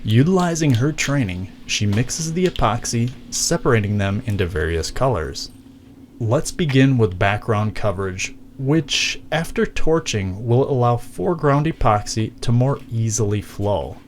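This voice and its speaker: A man's voice: mid-range voice, animated, a little slow. A man giving scripted, mock-documentary narration in formal, elaborate wording for a DIY craft video. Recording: clear background noise.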